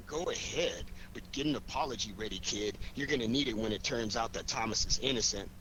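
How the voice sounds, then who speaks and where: An actor playing a wry, confident, commanding amateur detective in a 1940s radio crime drama. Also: male voice, medium pitch, natural voice. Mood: dry confidence. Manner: wry, quick-witted, commanding. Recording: noisy old 1940s radio recording.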